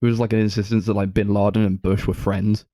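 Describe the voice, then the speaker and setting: A man with a lowish, dark and relaxed voice discusses films casually. He sounds like a podcast co-host recorded dry and close. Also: quick pace, no echo.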